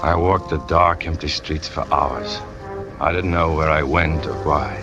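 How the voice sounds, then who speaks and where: An American actor playing a brooding, lovesick trapeze artist turned killer, terse and hard-boiled, in 1940s radio noir style. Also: male voice, very deep voice, steady tone, measured pace, highs cut off. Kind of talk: 1940s radio noir acting, confessional narration. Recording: old 1940s radio recording with music.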